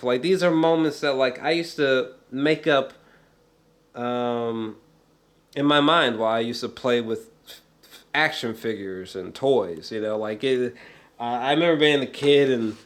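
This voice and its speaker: A man with an average-pitched voice talks through a casual film review. He rambles and hunts for words, often repeating a phrase. His wording is slangy and profane, with a fan's emphatic way of driving points home. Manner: halting, searching for words, repeats himself; emphatic, fannish.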